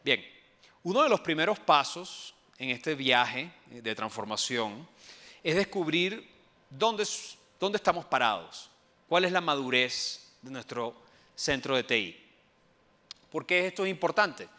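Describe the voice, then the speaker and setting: A man from Venezuela with a Venezuelan accent, giving a conference presentation as an enterprise architect, pausing often with fillers and restarts. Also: medium pitch, animated, sharp tone. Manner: hesitant, many fillers and restarts. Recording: long hall reverb.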